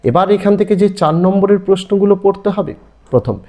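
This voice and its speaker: A man with an Indian Bengali accent teaches online, reading questions out one after another. His voice sits fairly high and swings widely in pitch, with a soft, mellow tone. He sounds instructional and emphatic, with a repetitive list-like rhythm. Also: lively intonation, fast. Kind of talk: exam-prep lesson, reading out listed questions.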